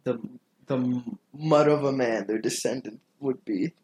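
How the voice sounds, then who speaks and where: A man's voice: medium pitch, unhurried, darkish tone, a little hiss or hum, poor audio. A man chatting casually as a podcast guest, talking in an informal, hesitant, loosely worded way. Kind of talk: casual chat.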